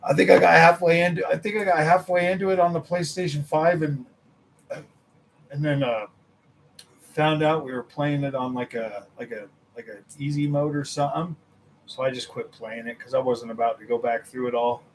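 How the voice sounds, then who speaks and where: Excitable gaming streamer, slightly raised pitch. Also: male voice, normal speed, slight background noise, rough recording. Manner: animated, laughing, mimics others in a high voice. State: recovering from losing his voice. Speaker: gaming channel host. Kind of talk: casual livestream chat with viewers.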